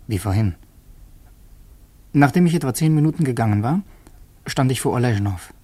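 An actor playing a young revolutionary student who narrates in the first person in a radio play, earnest and tense. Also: male voice, medium pitch, lively intonation, moderate pace, dark tone, no room sound, close to an everyday voice.

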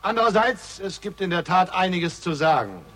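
Defiant accused man, husky voice, ironic oratory, 1960s radio drama, long hall reverb. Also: sits high, sing-song, normal speed, bright, forceful tone, exaggerated voice. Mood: sardonic. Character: defiant, sardonic accused man facing a lynch mob.

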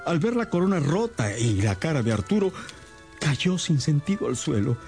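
An actor playing a distressed, respectable middle-aged father, an agitated and earnest gentleman in a radio drama. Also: fairly high pitch, big pitch swings, moderate pace. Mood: anguished narrating. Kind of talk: Spanish-language radio drama acting. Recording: music under the voice.

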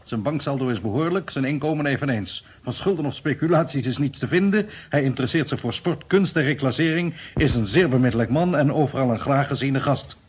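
Police colleague, very raspy mid-pitched voice, measured and sceptical, old radio drama with dull sound. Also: male voice, animated. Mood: matter-of-fact. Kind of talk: radio play acting. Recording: muffled old radio play recording.

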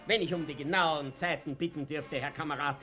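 Wry Viennese slyly defiant little man, high-pitched. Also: steady tone, bright, forceful tone, faint music behind. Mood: mock-polite. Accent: Austrian. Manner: ironic, mock-polite, unhurried. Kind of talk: radio play acting. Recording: noisy old radio play recording.

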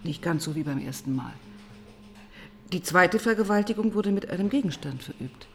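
An actress playing a composed, probing police detective who narrates and talks through the case in a measured, matter-of-fact way in a crime radio play. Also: female voice, fairly low pitch, expressive, vocal fry, faint music behind. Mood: analytical, skeptical. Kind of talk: German crime radio play, dialogue and first-person narration.

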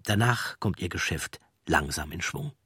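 A man reading scripted documentary narration with measured, storytelling delivery and a husky voice. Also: low voice, sing-song, sharp tone, no room sound, clean studio sound.

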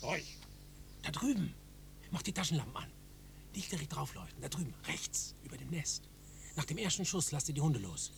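Gravelly, terse hunt leader. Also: male voice, on the high side, big pitch swings, moderate pace, pauses often, background noise. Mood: hushed command. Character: terse leader of a night hunting party. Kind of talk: radio drama acting.

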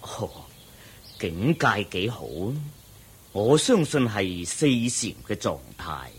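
A humble, solemn ascetic monk in a radio drama, with a lowish, very rough and breathy voice. He teaches slowly and deliberately in a Hong Kong Cantonese accent, patient and didactic. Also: big pitch swings, heavily acted. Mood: calm, instructive.

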